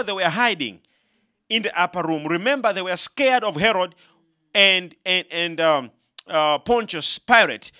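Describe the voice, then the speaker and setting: A man preaching a sermon, asking the congregation to answer back and rising into shouted passages. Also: high voice. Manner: call-and-response, rising to shouts.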